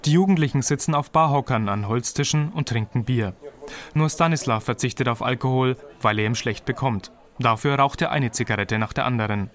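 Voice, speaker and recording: A man's voice: medium pitch, fairly quick. Man reading a radio reportage. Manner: even, descriptive reading. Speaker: reporter narrating. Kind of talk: scripted radio reportage narration.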